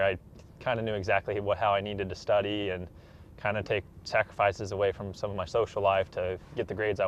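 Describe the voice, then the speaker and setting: A man, answering casually as an athlete being interviewed. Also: on the low side. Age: 20s. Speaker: interview guest, professional track athlete. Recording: dull, slightly noisy room recording.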